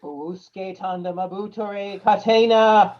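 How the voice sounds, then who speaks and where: An actor playing a monstrous undead devil doctor, chanting ceremonially in an old-time radio horror play. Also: male voice, high voice, fairly even intonation, unhurried, dull audio. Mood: menacing ritual. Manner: incantatory, ceremonial.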